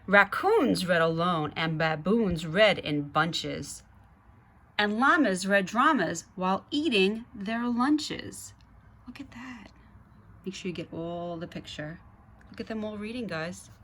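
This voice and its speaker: Female picture-book reader, unhurried pace, mid-range pitch, addresses the kids directly between lines of the story. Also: animated, a bit bright, a little hiss or hum. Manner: measured, addresses young listeners directly. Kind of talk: children's picture book read-aloud with asides to children.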